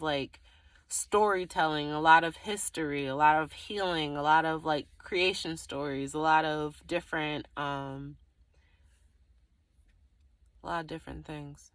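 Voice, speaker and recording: A woman with a fairly low, clearly bright and forward voice gives an improvised tarot reading. She talks casually to the viewer and thinks aloud, rambling, leaving phrases open and circling back to them. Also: dry recording. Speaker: tarot reader.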